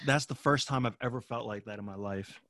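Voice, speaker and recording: A man's voice: mid-range voice, brisk, a bit bright, dry recording, studio quality. A man in his late twenties chatting casually, with slangy, self-deprecating talk and loose swearing. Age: late 20s. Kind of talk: casual chat, swearing freely.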